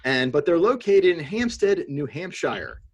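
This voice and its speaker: A man with a husky voice and lively, swinging pitch chats over a video call. He is wry and teasing and laughs often. His co-hosting has a loose, joking feel. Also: measured pace. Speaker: podcast co-host. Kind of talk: casual chat on a video call.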